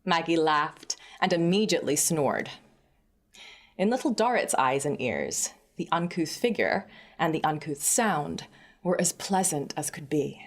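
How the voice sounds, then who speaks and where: Low-pitched woman narrating a classic novel. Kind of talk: scripted literary narration.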